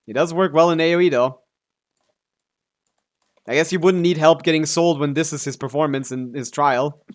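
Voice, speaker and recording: A man's voice: a little high, moderate pace. A man live streaming a video game, chatting casually in a laid-back way with some offhand swearing as he comments on play.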